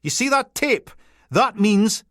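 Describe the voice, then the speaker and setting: Man doing a loud flustered Peterhead policewoman. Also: mid-range voice, very animated, takes it slowly, no room sound, studio quality, pitched above the performer's own voice. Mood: bossy. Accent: Peterhead, Scottish. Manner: loud and flustered, stumbling over titles. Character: brusque young female police constable.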